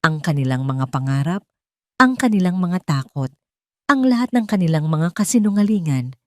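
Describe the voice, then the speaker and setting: A woman's voice: on the low side, sing-song, moderate pace, dry recording, studio quality. A woman reading a scripted romance story aloud in an earnest, dramatic storytelling manner.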